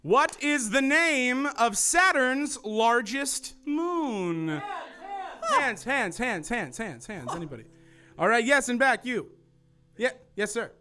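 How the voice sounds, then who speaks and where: A man's voice: very high-pitched, very animated, slow, pushed and bright, soft background music. A man hosting a live show on a small theater stage, bantering with the audience in upbeat emcee patter. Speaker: show host.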